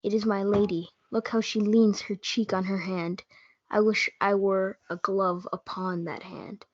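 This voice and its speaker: A woman's voice: medium pitch, steady tone, unhurried, darkish tone, no room sound, lightly acted. Student reader's theatre: lovestruck, yearning young man, played by a female performer. Earnest, dry lo-fi sound. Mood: adoring. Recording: dull lo-fi audio.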